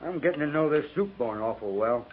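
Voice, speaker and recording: A man's voice: a little high, lively intonation, fairly quick, natural voice. Guy doing a plain dry old west soldier, country american accent, thin noisy radio sound. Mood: dry, grumbling. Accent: rural American. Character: simple, food-loving, dryly grumbling male cavalry trooper. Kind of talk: 1950s radio western acting. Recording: tinny, faintly noisy 1950s radio recording.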